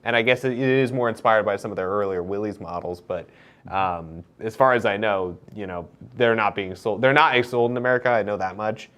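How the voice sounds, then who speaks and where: A young man co-hosting a casual morning show in a studio, chatty and quick with asides. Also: lowish voice, fairly bright tone, clear, resonant tone. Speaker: co-host. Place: studio set in front of a backdrop.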